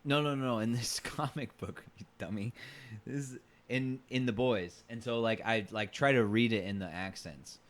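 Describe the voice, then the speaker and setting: Guy podcast cohost, casual chat, mid pitched voice, keeps restarting his sentences. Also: moderate pace. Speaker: podcast co-host.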